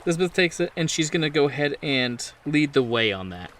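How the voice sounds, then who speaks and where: A man's voice: on the high side, lively intonation, fairly quick, a bit bright, slight background noise. A man running a tabletop horror game as game master, narrating scenes off the cuff. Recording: clear boat engine ambience behind.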